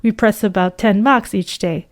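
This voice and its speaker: A woman from Ukraine, a harvest worker, speaking interview answers as a voice-over in a steady, matter-of-fact way. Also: mid-range voice, moderate pace. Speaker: harvest worker at the pressing center.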